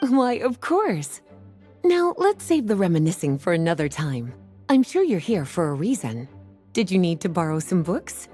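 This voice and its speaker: Game librarian mage, adult woman: velvety and mellow, very animated pitch swings, teasing, music behind. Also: moderate pace. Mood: teasing, breezy. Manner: teasing, leisurely storytelling. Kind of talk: video game voice acting.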